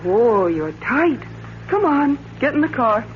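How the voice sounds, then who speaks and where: An evasive, coaxing wife in old radio drama style. Her voice is high and very animated. The sound is dull and slightly noisy, like an old broadcast. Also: slow pace. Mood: teasing, affectionate. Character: smooth, teasing young wife, evasive and pleading. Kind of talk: 1940s radio thriller acting.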